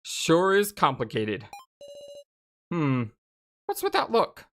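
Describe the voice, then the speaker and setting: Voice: medium pitch, wildly swooping pitch, slow, a bit bright, slight background noise, exaggerated voice. A game streamer voicing a chatty, teasing kid from a visual novel in a breezy, needling manner.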